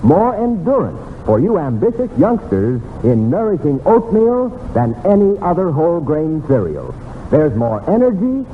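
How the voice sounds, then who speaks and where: A man reading an old-time radio commercial as announcer, earnest and emphatic. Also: a little high. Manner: earnest, emphatic salesman delivery. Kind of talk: vintage radio ad read. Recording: tinny, reverberant, noisy old radio.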